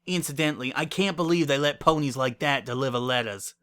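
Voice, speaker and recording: A man's voice: mid-range voice, a little fast, a bit bright, clear, resonant tone, lightly acted. A man voicing a haughty, scornful young female pony with a pompous, sniffy manner in a dramatic story reading.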